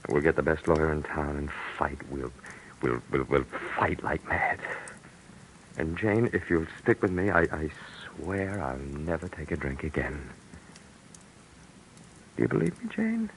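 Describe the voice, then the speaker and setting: A man's voice: extremely low pitch, sing-song, a little slow, slight background noise, full character voice, rough recording. An actor playing a desperate young man on the run, frantic and stammering, in 1940s radio acting. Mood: pleading.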